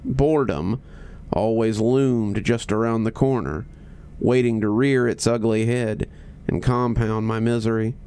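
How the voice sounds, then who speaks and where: Low voiced guy reading a creepy story, gravelly, slow deliberate phrasing with pauses. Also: steady tone, mellow. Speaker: storyteller reading horror fiction aloud. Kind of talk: scripted horror story narration.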